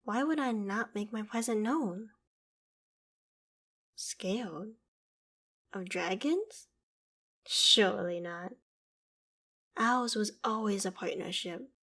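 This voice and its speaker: A woman's voice: mid-range voice, takes it slowly, long pauses, a bit bright. A woman playing a proud, ancient female dragon in an audio roleplay, speaking in formal, archaic phrases with a haughty, theatrical manner that still holds fondness for humans. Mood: haughty, offended. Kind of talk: scripted audio roleplay monologue.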